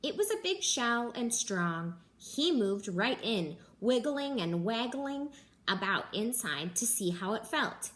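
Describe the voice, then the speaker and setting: A young woman teacher reads a children's picture book aloud into a close camera in her living room. Her voice sits fairly high and swings widely, with gasps and playful little voices for the animals. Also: slow. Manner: gentle, animated storytelling with gasps and character voices.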